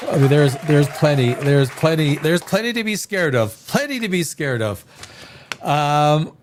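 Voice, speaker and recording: A man's voice: on the high side, animated, measured pace, a little hiss or hum. Rambling man with a head cold. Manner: rambling, repeats words and restarts often. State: has a head cold, sniffly. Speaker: live show host. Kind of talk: live reaction chat.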